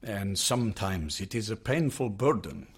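An actor playing a grave, pious male priest in a radio drama, speaking in a measured, solemn way. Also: fairly low pitch, lively intonation, husky. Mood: solemn, probing.

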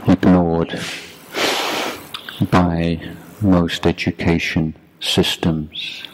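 Very slow, low-voiced male meditation teacher. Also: level tone. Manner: measured, deliberate, short phrases with long pauses. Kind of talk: guided meditation talk. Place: youth retreat. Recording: clearly noisy background.

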